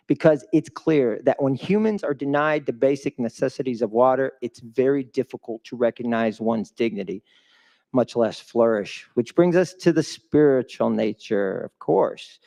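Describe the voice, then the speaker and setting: A man speaks live to an audience as a guest speaker at a charity event. His delivery is emphatic and motivational, with questions put directly to the listeners. His voice sits at a medium pitch. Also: darkish tone. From a developing country.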